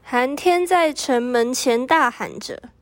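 A teenage girl narrates a story from a script. Her voice is high and recorded very dry, as in a student radio drama. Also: slow, no room sound.

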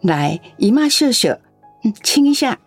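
A woman's voice: fairly high pitch, sing-song, measured pace, faint music behind, heavily acted. An actress playing a lonely, doting elderly grandmother in a radio drama. She talks in a coaxing, chatty way and coughs now and then. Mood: affectionate. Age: older.

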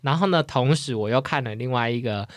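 A man with a Taiwanese Mandarin accent co-hosting a podcast in casual, humorous chat, animated and prone to laughing. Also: medium pitch, fairly quick, no echo, clean studio sound. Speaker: podcast co-host.

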